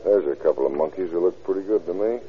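A tough, terse police investigator, played by a male actor speaks in a deep voice with clipped authority. It sounds like an old radio crime show, with a dulled recording. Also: fast, dull audio, close to an everyday voice. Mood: businesslike.

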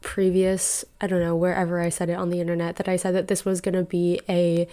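A woman's voice: on the low side, monotone, brisk, warm tone. A woman in her twenties hosting a solo podcast, talking through life advice in an earnest, chatty and reflective way into a podcast microphone. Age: 20s. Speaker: podcast host.

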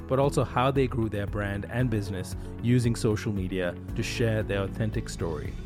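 Podcast host man, easygoing questions. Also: lowish voice. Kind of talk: scripted intro then casual interview. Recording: intro with guitar music.

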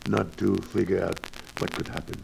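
An actor playing a loyal, injured manservant in an old radio adventure serial, speaking deferential, clipped broken English. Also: male voice, sits low, level tone, moderate pace, gravelly, a little mellow. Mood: watchful, earnest. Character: loyal, injured male native servant and sidekick. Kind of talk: 1940s-50s radio adventure serial acting. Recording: crackly old radio recording.

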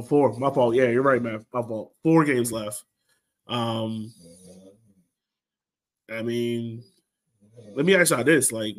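Casual American man hosting a podcast. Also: medium pitch. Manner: informal, colloquial, conversational. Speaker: sports podcast host. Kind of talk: casual soccer fan discussion.

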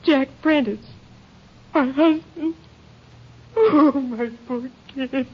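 A woman's voice: high-pitched, very slow. An American actress playing a weary, bitter, impoverished ranch wife and mother in an emotional 1950s radio melodrama style. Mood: shocked grief. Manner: plaintive, emotional, dramatic. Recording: muffled vintage 1950s radio recording.

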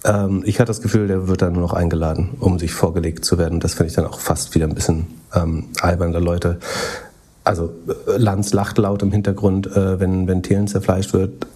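Husky low-voiced man with a cold. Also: flat delivery, brisk, warm tone. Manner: hesitant, lots of "äh" fillers, dry sarcasm. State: has a cold. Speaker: podcast co-host. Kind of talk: casual chat.

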